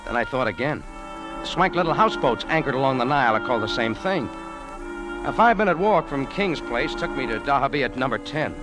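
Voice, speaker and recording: A male actor playing a hardboiled American cafe owner who sleuths on the side. His delivery is wry, slangy and clipped, with lively swings of pitch, in old radio-drama style with music behind. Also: a little fast, natural voice, poor audio. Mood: wry narration. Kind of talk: 1940s radio detective drama acting and first-person narration.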